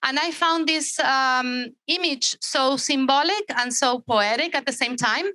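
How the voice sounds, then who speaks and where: A woman's voice: sits high, a little slow, bright, forceful tone. A woman, an artist reflecting on her work on a gallery walkthrough, with fillers and restarts. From Argentina. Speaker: exhibiting artist.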